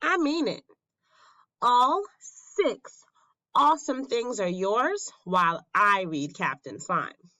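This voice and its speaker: A woman voicing an eager, pleading little boy mouse in a squeaky, excitable way for a children's storybook. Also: slow pace, no echo, low-quality recording. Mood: hopeful, insistent.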